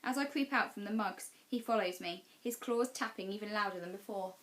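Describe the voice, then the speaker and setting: A woman's voice: medium pitch, a little fast, a bit bright, a little hiss or hum. Woman reading a children's story aloud. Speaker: teacher reading class storytime. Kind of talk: expressive children's storybook narration.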